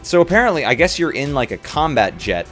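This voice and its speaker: A man hosting a livestream, chatting casually about games in an excitable, jokey way. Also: medium pitch, a little fast. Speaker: livestream host. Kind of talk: casual game-stream chat. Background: music.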